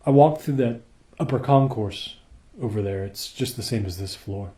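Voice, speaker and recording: A man playing a dutiful crewman reporting to his captain in a radio play, earnest, measured and deferential. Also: mid-range voice, dark tone, natural voice. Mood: matter-of-fact.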